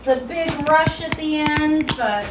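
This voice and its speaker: A woman with a light Boston accent hosting a live cooking demo from her kitchen, chatty and excitable as she talks to viewers. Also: high-pitched, unhurried, very noisy. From Boston area. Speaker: cooking show host. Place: home kitchen, indoors.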